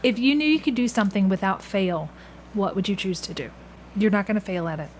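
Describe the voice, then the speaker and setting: A woman hosts a relaxed question-and-answer chat. She speaks conversationally as an interviewer. Some background noise sits under her voice. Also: medium pitch, a little fast. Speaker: podcast host, interviewer.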